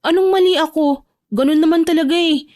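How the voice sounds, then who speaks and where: A woman's voice: extremely high pitch, a little fast, darkish tone, voice pushed up. A woman with a Filipino accent voicing a timid, insecure, emotional young woman in an audio romance drama. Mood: defensive.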